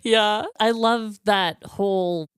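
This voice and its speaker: A woman's voice: medium pitch, steady tone, slow pace, clean tone, no room sound, studio quality. A woman co-hosting a podcast in an enthusiastic, casual, chatty way. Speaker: podcast co-host. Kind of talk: casual chat.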